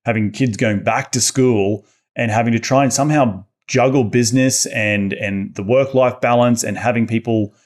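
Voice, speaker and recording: A man's voice: medium pitch, steady tone, moderate pace. A man, hosting a podcast interview in a friendly, conversational way. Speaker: podcast host. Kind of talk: casual interview talk. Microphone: headset and desk mic, video call.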